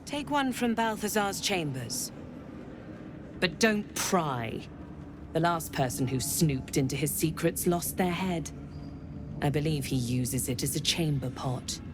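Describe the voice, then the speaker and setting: An actress playing a seductive, menacing female commander of a dark cult in a video game, sultry and commanding in manner. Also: sits low, animated, measured pace, over music. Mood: stern, commanding.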